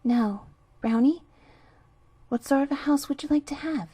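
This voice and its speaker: A woman's voice: sits high, moderate pace, dark tone, no echo, pitched above the performer's own voice, lightly acted. A woman voicing an old, feeble mother pig who gives her piglets earnest warnings in a storybook reading. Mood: pleading.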